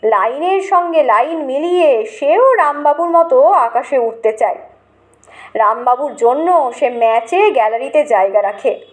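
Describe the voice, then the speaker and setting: Female audiobook narrator, high-pitched, unhurried, expressive delivery for comic fiction. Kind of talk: scripted comic story narration.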